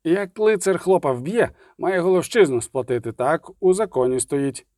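A man's voice: fairly high pitch, lively intonation, moderate pace, darkish tone, no echo, studio quality, voice pushed up, lightly acted. A man voicing a stubborn, aggrieved villager in a fantasy audiobook, rustic, haggling and insistent.

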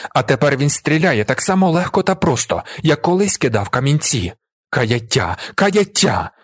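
A man's voice: lowish voice, slightly rough, dry recording, studio quality. A man voicing an enraged, defiant young war veteran in a dramatized audiobook, speaking in vehement, accusing exclamations.

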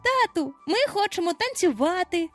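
A woman's voice: extremely high pitch, big pitch swings, normal speed, fairly bright tone, faint music behind, pitched above the performer's own voice, full character voice. A woman voicing squabbling small boys in a storybook, indignant and whiny, exclaiming insistently. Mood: eager, pestering.

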